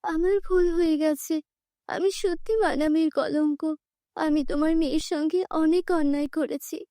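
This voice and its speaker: A woman's voice: sits high, fairly even intonation, measured pace, dark tone, no room sound, clean studio sound. A woman playing a wheedling stepmother in a children's cartoon story. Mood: pleading. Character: wheedling stepmother, cruel then begging forgiveness.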